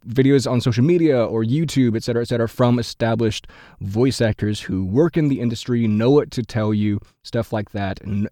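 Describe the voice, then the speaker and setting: A young man with a deep baritone voice, hosting a podcast and giving chatty, self-correcting advice about getting into voiceover. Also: warm tone, dry recording, studio quality. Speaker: podcast host at a voiceover studio.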